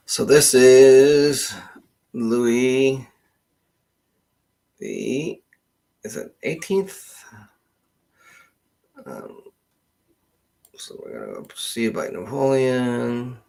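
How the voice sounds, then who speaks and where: Male genealogy host from Canada, gravelly and slow-paced, casual, muttering through an on-screen search. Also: mid-range voice, big pitch swings, darkish tone. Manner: halting, thinking aloud, muttering to himself. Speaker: genealogist host of a live question session.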